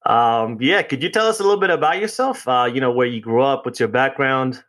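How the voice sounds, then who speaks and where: A man's voice: mid-range voice, a little fast, fairly bright tone. Male host, mid voice, chatty with frequent restarts. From United States. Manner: friendly, restarts often, conversational. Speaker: podcast host. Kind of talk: casual interview chat.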